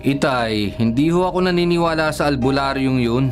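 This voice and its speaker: A man's voice: medium pitch, fairly quick, lightly acted. A man playing a scornful, stubborn husband in a Filipino radio drama, dismissive and insistent. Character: scornful, stubborn husband who doubts folk healers. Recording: dramatic music behind.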